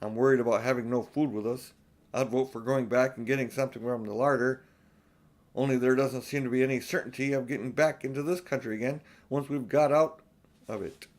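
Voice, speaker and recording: A man's voice: mid-range voice, fairly even intonation, fairly quick, a little mellow, natural voice. Guy reading a responsible big brother kid in a storybook, plain and earnest. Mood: concerned. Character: responsible, decisive schoolboy, eldest brother.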